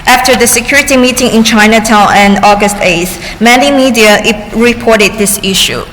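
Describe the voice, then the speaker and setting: Higher-voiced woman interpreting in an echoey hall. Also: fairly bright tone, a little hiss or hum. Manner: halting, with restarts and cut-off words. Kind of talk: consecutive interpretation of testimony. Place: large public hearing chamber. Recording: echoey hearing room with faint ambience.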